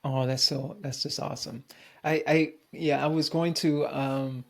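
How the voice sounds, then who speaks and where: Man, podcast host, slow, halting, affirming, gravelly, medium pitch. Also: steady tone. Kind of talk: conversational interview.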